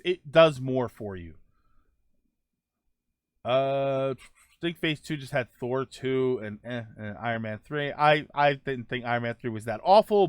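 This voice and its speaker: A man's voice: medium pitch, a bit bright, no room sound. A man hosting a casual live-stream show, answering viewer comments in a loose, rambling way with lots of fillers. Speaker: livestream show host.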